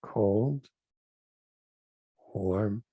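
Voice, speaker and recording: Slow, mellow man guiding meditation. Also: on the low side, husky, dry recording. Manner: gentle, deliberate phrasing with pauses. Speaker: rabbi leading a guided meditation. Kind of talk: guided meditation instruction.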